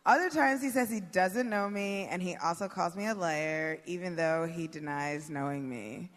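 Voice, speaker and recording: A woman comedian on a live panel, reading out comic clues in a measured, deliberate way. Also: lowish voice. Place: live stage before an audience. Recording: echoey hall, faint noise.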